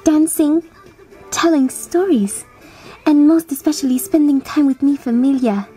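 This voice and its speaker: An earnest teenage skeleton girl from a cartoon acted by a woman, devoted to family. She speaks in a high, mellow voice. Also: unhurried, faint music behind.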